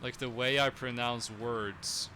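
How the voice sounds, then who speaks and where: A man's voice: medium pitch, steady tone, slow pace, background noise. A young man from Norway with a Norwegian accent, chatting casually with viewers while he plays a strategy game, rambling with lots of fillers. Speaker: streamer.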